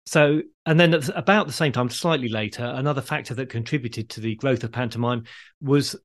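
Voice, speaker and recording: Guy hosting a history podcast, measured and explanatory, mid pitched voice. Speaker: podcast host.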